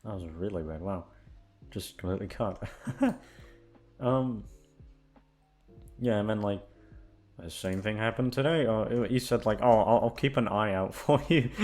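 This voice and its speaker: A man's voice: fairly low pitch, a little slow, pauses often, a little mellow. A young man chatting casually to his listeners in an informal, rambling, sweary way. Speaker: audio channel host. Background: guitar music.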